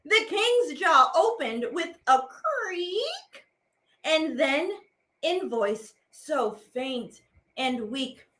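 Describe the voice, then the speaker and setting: A high-voiced woman reads children's verse aloud as a teaching artist. Her delivery is theatrical and playful, swooping widely in pitch. She takes it slowly, stretching out words for effect. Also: big pitch swings, a bit bright. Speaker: teaching artist and program host. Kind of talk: expressive read-aloud of children's poems.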